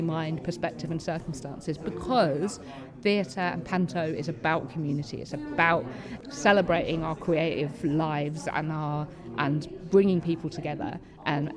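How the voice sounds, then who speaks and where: A woman speaking conversationally as an interview guest, with involuntary vocal tics that can interject stray words. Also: deep voice. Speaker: panellist and theatre-maker being interviewed. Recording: busy venue with crowd chatter behind. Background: crowd chatter.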